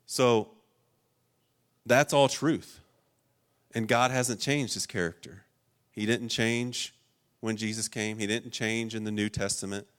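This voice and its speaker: A man preaching a sermon, earnest and emphatic, with loud stressed points and quiet personal asides. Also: lowish voice, steady tone, takes it slowly, long pauses, clean tone. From rural Ohio. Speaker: pastor.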